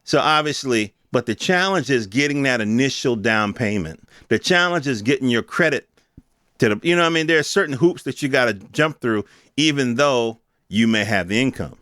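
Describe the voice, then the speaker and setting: Middle aged american guy doing a rambly podcast monologue, kinda lower pitched, real dry sound, streetwise and blunt. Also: expressive, normal speed, fairly bright tone, no room sound. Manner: informal, streetwise, opinionated, emphatic with swearing. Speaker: podcast host. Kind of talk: casual rambling monologue commentary.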